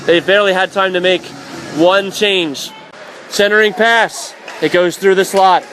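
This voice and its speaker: A man calling live ice hockey play-by-play for radio, excitable and raising his voice on big plays. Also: high voice, measured pace, low-quality recording. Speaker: play-by-play commentator. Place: indoor ice rink broadcast position. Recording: live arena commentary with faint crowd noise. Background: faint crowd and rink noise.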